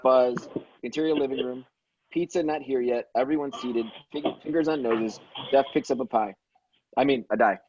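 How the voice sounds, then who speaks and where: A man's voice: medium pitch, normal speed, background noise. A man reading stage directions as narrator in an amateur table read, stumbling now and then and correcting himself. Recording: dull, dry lo-fi microphone.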